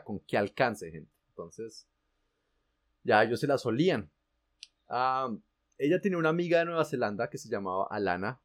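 A man with a Colombian accent, animatedly telling a personal story in casual, slangy chat. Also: medium pitch. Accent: Colombian Spanish. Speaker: guest telling his story.

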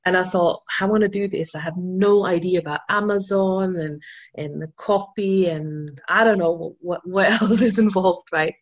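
Brief: low-voiced non-native woman from Austria, keen interview guest, muffled lo-fi recording. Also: normal speed. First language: not English. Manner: enthusiastic storytelling with many restarts and fillers. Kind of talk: casual interview talk.

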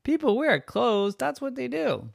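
A man plays an indignant little girl in a bedtime story. The voice jumps very high and swings about, blunt and whiny as it complains and exclaims. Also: sing-song, measured pace, vocal fry, dry recording, studio quality, full character voice. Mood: scornful.

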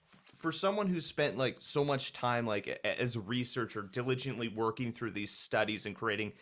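A man's voice: medium pitch, moderate pace, a bit bright. Casual male interviewer, full of likes. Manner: loose, many likes and fillers.

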